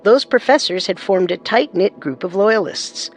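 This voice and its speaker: A woman narrating a scripted true-crime story as co-host, composed and deliberate. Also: mid-range voice, lively intonation, faint music behind.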